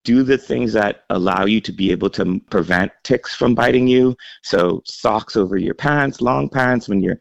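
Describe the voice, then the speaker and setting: A man from the Bahamas, a health center chief executive, speaking earnestly in a radio feature with frequent pauses and ums. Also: on the low side, creaky, no room sound, lo-fi sound. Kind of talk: radio interview answers.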